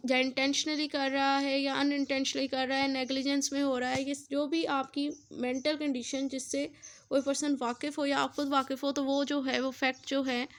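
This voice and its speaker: High-pitched Pakistani woman lecturing. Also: little pitch movement, normal speed. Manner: didactic, repeats and rephrases definitions. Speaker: law lecturer. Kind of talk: explanatory lecture mixing English legal terms.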